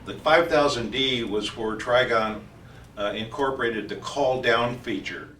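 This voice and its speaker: A man giving an informal technical training explanation as a company trainer, speaking haltingly with frequent hesitations. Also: mid-range voice, fairly bright tone. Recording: roomy echo, distant mic, faint noise.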